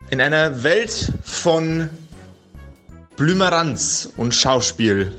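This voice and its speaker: Slow, grandiose man as dungeon master. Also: a little high, fairly bright tone. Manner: grandiose, storyteller-like. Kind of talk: theatrical scripted address to players.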